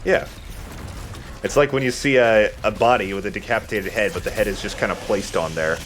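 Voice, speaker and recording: A man with a fairly low voice commentates a video game in a casual, joking way. He thinks aloud and swears now and then. There is light noise in the background. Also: brisk. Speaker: video game let's player. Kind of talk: casual gameplay commentary with friends.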